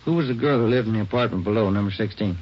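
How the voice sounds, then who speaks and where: A man's voice: on the low side, very fast, slightly rough. A man playing a plain-spoken, polite American detective in an old-time radio drama, casual and businesslike. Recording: dull old radio recording.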